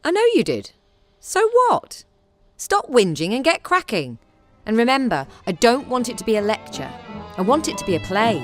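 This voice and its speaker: A woman acting in an audio drama as a bossy, driven theatre director in 1940s England, with a brisk, commanding manner. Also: a little high, very animated, over music. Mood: brisk, impatient.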